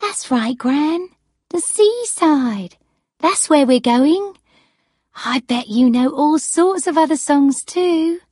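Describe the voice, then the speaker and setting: Woman as a coaxing, cheerful granddaughter, lifted lively pitch. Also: slow pace, dry recording, clean studio sound. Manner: bright, encouraging, soothing.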